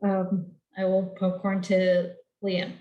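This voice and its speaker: A young woman on a video call in an echoey room, introducing herself casually and haltingly as a student panelist. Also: medium pitch, completely flat delivery, lo-fi sound. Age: young adult. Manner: halting, weary.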